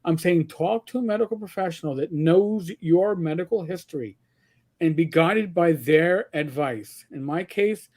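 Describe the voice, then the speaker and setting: This man from Queens speaks with a New York accent in a noticeably high register. He hosts a casual livestream, rambling, tripping over repeated words and swearing freely. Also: moderate pace, a little mellow. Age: older. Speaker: host.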